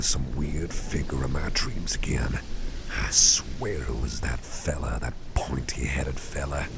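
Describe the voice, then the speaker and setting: A man playing a caring, rambling boss in a gentle ASMR roleplay, speaking in a breathy, gravelly whisper with folksy wording. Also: extremely low pitch, background noise, heavily acted. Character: caring, rambling, soothing male boss at home.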